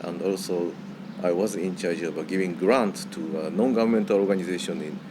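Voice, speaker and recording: A Japanese-accented man with a very deep voice speaks English slowly and haltingly, filling his gaps with "um" and "uh." Noise sits under the voice throughout. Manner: halting, with many "um" and "uh" fillers. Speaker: board member.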